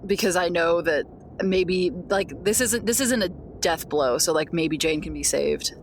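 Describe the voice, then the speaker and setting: A woman with a lowish voice plays a tabletop game. She talks casually and hesitantly, working out her moves aloud. Faint noise and ambience sit behind her. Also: unhurried.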